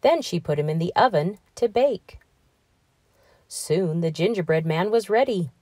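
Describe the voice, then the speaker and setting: Woman reading a kids story out loud, slow and really expressive, dry sound. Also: fairly low pitch, dry recording. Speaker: storybook reader, channel host. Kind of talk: expressive children's read-aloud narration.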